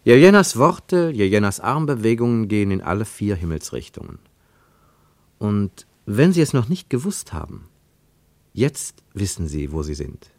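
An actor playing the wry, observant narrator of a literary radio drama, with measured, pointed phrasing. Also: male voice, on the low side, sing-song, dry recording. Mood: ironic, emphatic. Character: wry, observant male narrator of a travel story.

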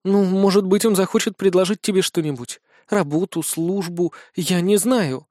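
Fantasy audiobook role: composed, commanding young aristocratic lady read by a man, high register, close dry sound. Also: normal speed, a little mellow, no room sound, natural voice. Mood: composed, courteous. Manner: commanding, formal, persuasive. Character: imperious young noblewoman, composed schemer.

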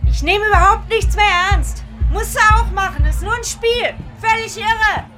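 A woman's voice: very high-pitched, normal speed, a bit bright. An actress playing a reckless, playful young East German party girl, breezy and carefree, in a radio crime drama. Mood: exhilarated. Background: music.

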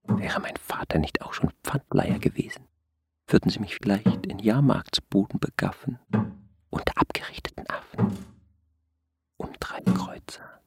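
An actor playing a bitter, self-loathing crippled pawnbroker in a radio drama, theatrical and halting, often dropping to a whisper. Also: male voice, fairly low pitch, creaky, husky, heavily acted. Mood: bitter despair. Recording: long hall reverb.

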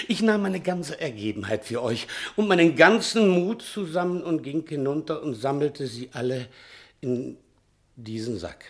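An actor playing a frightened, shifty manservant in a German radio play, pleading and hesitant, stumbling over his excuses. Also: mid-range voice. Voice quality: stammering, hesitant. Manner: pleading, servile, theatrical. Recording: vintage 1960 radio studio recording.

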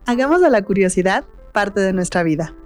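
A woman's voice: mid-range voice, expressive, fairly quick, soft background music. A woman with a Mexican accent hosting a conversational radio interview show. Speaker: radio talk show host.

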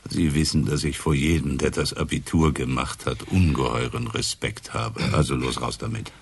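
Low-voiced, dry police inspector. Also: male voice, steady tone, moderate pace, creaky, slightly rough, full character voice. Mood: dry, probing. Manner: dry, ironic, probing. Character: dry, sardonic male provincial police inspector. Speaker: actor in radio crime drama. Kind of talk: naturalistic German radio play acting.

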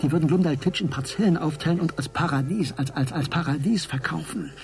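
An actor playing a keen, scheming male investigator who speaks haltingly and musingly in a radio drama. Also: a little high, creaky, a little mellow. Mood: intent. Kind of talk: German radio drama acting.

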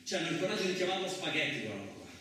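An actor playing a coarse, suspicious, lonely truck driver in a radio theatre monologue, rambling colloquially with frequent questioning interjections. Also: male voice, fairly high pitch, very animated, very fast, sharp tone. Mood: wistful. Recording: very echoey, distant, noisy room recording.

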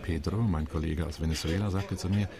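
A man's voice: low voice, steady tone, fairly quick, crowd noise. An actor playing a weary, wry male Latin American diplomat in a radio play monologue, recalling his posting. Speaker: UN committee delegate.

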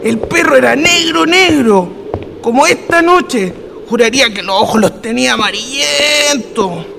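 An actor playing a jumpy, cursing, drunk Chilean villager in a radio drama, exclaiming irritably. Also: male voice, very high voice, lively intonation, measured pace, gravelly, background noise, exaggerated voice. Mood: spooked.

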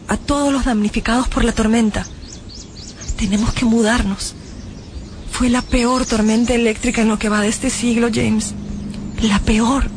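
An actress playing a tender, anxious wife in a radio drama, with a warm, emotional way of speaking over music. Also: female voice, on the high side, slight background noise. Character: tender, anxious wife relieved her husband survived. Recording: music bed behind the voice.

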